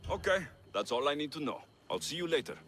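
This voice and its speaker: Tough mercenary in a game, wry and kind, really swingy forceful delivery, music under it. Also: male voice, fairly high pitch, big pitch swings, quick pace. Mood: wry, kindly. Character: tough male Greek mercenary, gruff but kind, wry. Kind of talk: video game cutscene acting.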